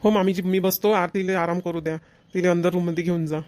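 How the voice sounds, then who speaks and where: Man playing a high-pitched, touchy son-in-law. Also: fairly even intonation, fast talker, darkish tone, natural voice. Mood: earnest. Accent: Vidarbha Marathi. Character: concerned, touchy son-in-law visiting his wife's family. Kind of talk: family comedy cartoon dialogue.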